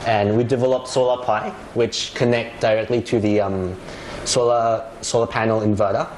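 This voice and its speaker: A man from Thailand explains a technical product in plain words, stopping now and then for fillers. His voice is fairly low. The room sounds echoey and noisy. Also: level tone, normal speed, a little mellow. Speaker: software developer. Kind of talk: casual interview answers.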